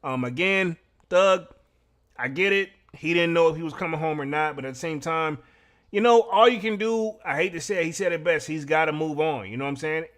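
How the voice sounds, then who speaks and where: Male livestream host, higher-than-average pitch, loose slangy delivery for casual recaps and sign-offs. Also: fairly quick, fairly bright tone. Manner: conversational, slangy, frequent "you know" asides. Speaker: podcast host and streamer.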